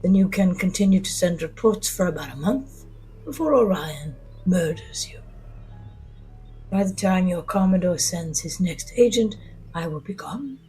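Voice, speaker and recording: Woman voicing a hushed, courtly alien villain man. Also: on the low side, lively intonation, slightly rough, soft background music, heavily acted, low-quality recording. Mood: calm menace. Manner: courtly, unhurried, menacing. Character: polite, tired, chubby alien villain man, coldly scheming.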